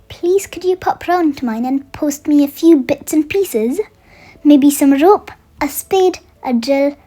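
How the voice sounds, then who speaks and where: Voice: sits high, a little mellow. A storybook reader voicing a cheerful, eager male friend who chats enthusiastically in his holiday postcards. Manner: bubbly, enthusiastic, chatty. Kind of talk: children's storybook read-aloud. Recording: music under the voice.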